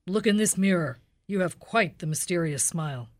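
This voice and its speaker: A woman playing a deadpan, dogged hard-boiled police detective in a comic radio play, speaking in a clipped way. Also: fairly low pitch, normal speed, close to an everyday voice. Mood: dry, official. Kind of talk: Dragnet-style comic radio play acting.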